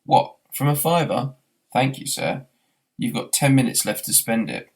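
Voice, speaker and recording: A man's voice: medium pitch, animated, measured pace, creaky. A man voicing a dignified old pub barman in a storybook, chatty and deferential. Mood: polite, chatty.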